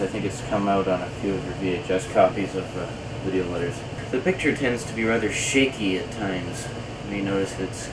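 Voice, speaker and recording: A man coming down with a cold, chatting casually and rambling to camera with lots of uh's, recorded on a camcorder mic in a room at home. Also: deep voice. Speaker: video letter host. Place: indoor home program room. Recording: noisy early-90s camcorder tape.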